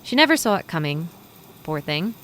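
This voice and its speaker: A woman's voice: lowish voice, very animated, moderate pace, fairly bright tone, a little hiss or hum. A woman voicing a theatrical, haughty middle-aged female fortune teller with a dry, sarcastic manner and a vaguely foreign accent. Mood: knowing.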